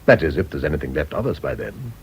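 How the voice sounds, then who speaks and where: Urbane English philanderer, middle-aged; low-pitched, swooping delivery, cynical radio-drama manner. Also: male voice, very animated, fast, slightly rough, exaggerated voice. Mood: sardonic, teasing. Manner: urbane, sardonic, patronising.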